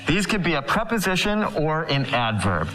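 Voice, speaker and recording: An American man hosts a TV chat with a lively, upbeat delivery, his voice sitting a little high and swinging widely in pitch. He explains things slowly and cheerfully like a teacher. Music plays clearly behind him. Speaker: TV show host and English teacher. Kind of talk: TV talk show chat and English lesson.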